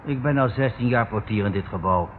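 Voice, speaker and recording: Through a narrow, noisy old radio recording comes an actor as a formal London doorman. He is dutiful and deferential, choosing stiff, measured words in a fairly low male voice. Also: natural voice. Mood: formal, discreet. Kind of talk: radio drama acting.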